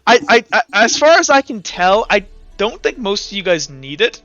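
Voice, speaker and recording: High, bright-voiced male game master. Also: big pitch swings, normal speed, dry recording. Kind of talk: casual tabletop game session chat. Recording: muffled audio with background music.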